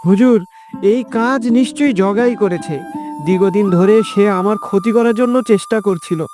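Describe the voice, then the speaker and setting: A man acting high-voiced, plaintive wronged villager. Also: moderate pace, close to an everyday voice. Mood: pleading. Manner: pleading, plaintive. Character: wronged poor village man, pleading and honest. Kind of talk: cartoon dialogue. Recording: loud background music.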